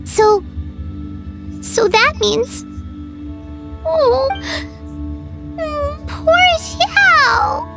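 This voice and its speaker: A woman voicing a tiny, excitable, childlike fairy companion in an anime-style video game, exclamatory, with a squeaky voice. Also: heavily acted. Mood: puzzled, sympathetic. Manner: refers to self in third person, exclamatory. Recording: game music behind the voice. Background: video game music.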